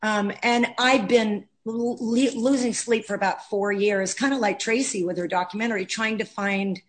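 Female guest, actress, mid-pitched voice, animated and bursting with talk. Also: moderate pace. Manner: animated, talks in bursts with restarts. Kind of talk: casual interview chat.

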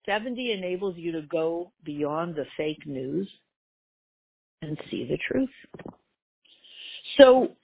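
A woman's voice: lowish voice, animated, takes it slowly, creaky, long pauses, slight background noise. A woman giving a religious class, explaining with rhetorical questions and everyday examples. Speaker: Torah teacher. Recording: tinny phone-like line.

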